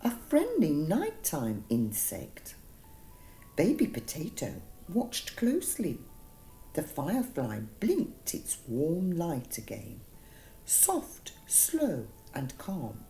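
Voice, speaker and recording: A woman narrating a gentle bedtime story for children, soothing and deliberate, with dramatic emphasis on single words. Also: low-pitched, wildly swooping pitch, faint music behind.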